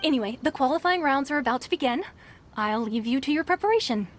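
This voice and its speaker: A woman's voice: sits high, animated, quick pace, a little hiss or hum. A woman playing a polite, earnest young tournament registrar in a video game, speaking hesitantly. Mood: polite, businesslike. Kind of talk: video game character acting. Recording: light game music behind.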